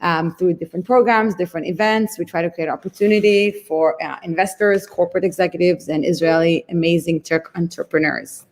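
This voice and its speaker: A woman's voice: lowish voice, fairly even intonation, brisk, hardly any pauses, a little mellow. A woman opening a live online event as its founder and host, friendly with frequent ums, on a video call. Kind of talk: event welcome remarks.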